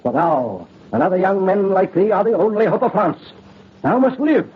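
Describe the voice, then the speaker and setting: Husky, doomed noble father. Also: high-pitched, lively intonation, moderate pace, full character voice. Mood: solemn urging. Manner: grave, declamatory, archaic theatrical speech. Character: proud, doomed aristocrat father, solemn and resolute. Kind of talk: old-time radio horror drama acting. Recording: muffled old radio recording.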